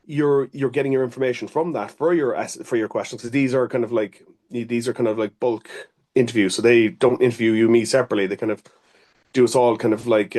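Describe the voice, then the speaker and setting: A man's voice: medium pitch, darkish tone. An Irish man, an occupational therapist, giving earnest, rambling interview answers full of restarts and fillers.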